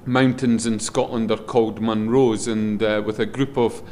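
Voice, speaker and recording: A middle-aged man with a loud voice, a guest in a relaxed interview, rambling a little with frequent ums and restarts as he talks. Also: on the low side, normal speed, a little hiss or hum. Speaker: guest, head of a schools group.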